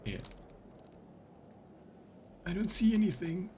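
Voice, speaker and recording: A man's voice: sits high, big pitch swings, slow, dark tone. Guy doing a let's play, chatting and reading stuff out, bit of noise behind him. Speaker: streamer. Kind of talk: casual let's play commentary and reading game text aloud. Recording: clear background noise.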